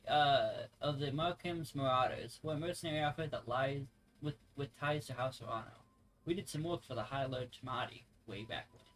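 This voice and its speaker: A man's voice: medium pitch, a little fast, fairly bright tone, lightly acted, low-quality recording. A man reading aloud the game dialogue of a friendly, plain-spoken mercenary officer, conversational and stumbling over some words. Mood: sympathetic. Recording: music playing behind the voice.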